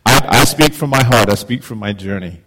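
A man's voice: medium pitch, normal speed, very noisy. Heartfelt man preaching casually. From Zimbabwe. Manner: heartfelt, conversational, repeats words when starting phrases. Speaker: guest preacher. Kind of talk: informal sermon.

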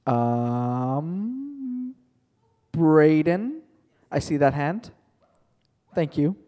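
A man's voice: a little high, very animated, extremely slow, warm tone, in a large hall. A male teacher telling a playful made-up story to his class, chatty and joking, calling on students by name.

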